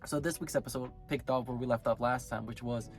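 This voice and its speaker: Sick, raspy-voiced man reviewing anime. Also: medium pitch, fairly even intonation, a little fast, faint music behind. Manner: rambling, self-correcting. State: sick, hoarse. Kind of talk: casual video review.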